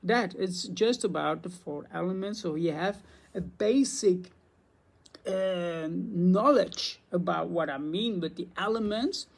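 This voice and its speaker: A man's voice: high voice, slow, creaky. Man, Belgian Dutch accent, native Dutch speaker, eager rambling vlog chat to camera. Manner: excitable, rambling, chatty with restarts. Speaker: spiritual teacher and vlogger. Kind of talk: casual talk to camera.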